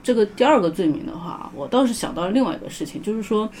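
A woman's voice: medium pitch, animated, fast talker, a little mellow, slight background noise. A woman explaining legal procedure as a guest in a casual podcast discussion, carefully qualifying what she says and readily agreeing with others. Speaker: guest legal commentator, lawyer.

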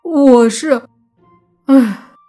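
A woman's voice: medium pitch, animated, moderate pace, warm tone, breathy edge, soft background music. A woman narrator voicing a flustered, indignant young male student who sputters defensively in an audiobook story. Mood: indignant, exasperated.

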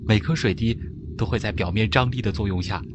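An actor playing a tender, protective uncle in a radio drama, patiently and gently explaining things to a child. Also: male voice, fairly low pitch, brisk, lightly acted. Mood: gentle, reassuring. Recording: echoey, loud music, background noise.

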